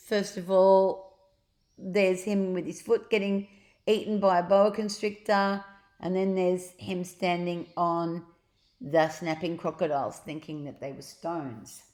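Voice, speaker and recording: A woman's voice: on the low side, a little mellow, echoey room. A woman reading a children's story aloud expressively, acting out sounds and adding little asides to her listeners. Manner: theatrical, acts out sound effects.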